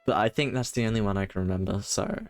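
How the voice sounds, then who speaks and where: A man giving casual live commentary as a game streamer, musing aloud in a halting way. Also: fairly low pitch, expressive, creaky, dry recording.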